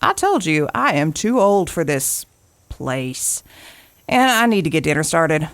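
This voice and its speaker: A woman playing a gruff, folksy older park warden who gives orders in colloquial style, in an audio drama. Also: deep voice, big pitch swings, measured pace, no room sound, full character voice. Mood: firm, instructing.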